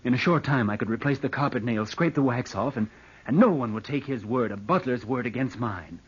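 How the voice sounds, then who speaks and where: A man's voice: mid-range voice, fairly quick, husky, natural voice. An actor playing a scheming, bitter nephew who broods as he narrates in an old-time radio drama. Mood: brooding, calculating. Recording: dull vintage radio recording.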